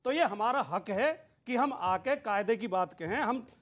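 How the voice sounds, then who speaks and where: High-pitched argumentative male political spokesman. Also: lively intonation, unhurried, a bit bright. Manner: argumentative, emphatic, builds points by repetition. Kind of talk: televised political debate argument.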